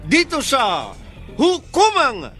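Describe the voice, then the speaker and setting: Male radio drama announcer, high-pitched, sweeping intonation, solemn and declamatory, music underneath. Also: wildly swooping pitch, slow, sharp tone. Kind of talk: scripted dramatic radio narration.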